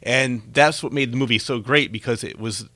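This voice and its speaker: A man's voice: medium pitch, moderate pace, sharp tone, no room sound. A man hosting a conversational interview, chatty and given to telling anecdotes. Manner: chatty, tells anecdotes with acted-out quotes. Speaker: talk show host and interviewer.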